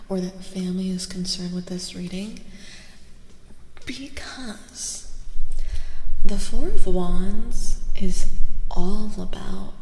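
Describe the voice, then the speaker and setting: A woman giving a soft-spoken ASMR tarot reading as a streamer, often slipping into whispers, soothing and reassuring as she slowly repeats phrases. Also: lowish voice, slight background noise. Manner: soothing, reassuring, slow repetitions. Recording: reverberant echo with music behind. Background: music.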